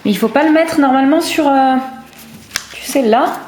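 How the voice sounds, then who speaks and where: A woman's voice: high voice, normal speed, darkish tone. A woman chatting casually as she co-hosts a family video, encouraging a child and asking guiding questions. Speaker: mother co-hosting a family video. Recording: roomy echo, far mic, faint noise.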